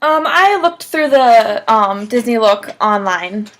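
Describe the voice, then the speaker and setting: Higher-voiced young woman answering interview questions. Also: animated, takes it slowly, a bit bright. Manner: casual, frequent "like" as filler. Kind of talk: phone job interview, conversational answers. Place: small room at home, indoors.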